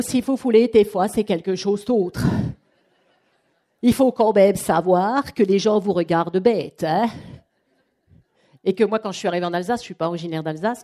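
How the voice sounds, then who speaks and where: A woman runs a training workshop for a room of people. She talks in a chatty, lively way and acts out what her clients say. Her voice is mellow, and her pitch sits in the middle. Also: fairly quick. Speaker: trainer and coach. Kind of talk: live workshop presentation.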